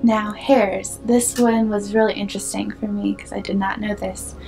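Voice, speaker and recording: Young woman host talking films, chatty and playful, a bit hoarse, light music in the back. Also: mid-range voice, level tone, normal speed, warm tone, rough recording. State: losing her voice. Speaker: film video host. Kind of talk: film analysis talk to camera.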